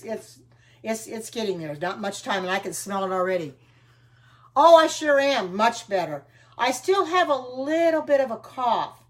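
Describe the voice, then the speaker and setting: An older woman chatting casually on a live stream while baking, greeting viewers by name and wandering from topic to topic with homespun sayings. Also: medium pitch. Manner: frequent ums and restarts, homespun sayings. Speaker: home cooking livestreamer.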